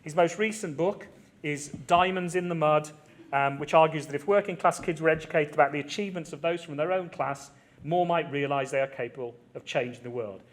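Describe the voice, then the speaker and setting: Guy hosting a book festival panel, bit of a higher voice, introducing speakers. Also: brisk. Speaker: panel chair. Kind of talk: introducing panellists at a live literary event.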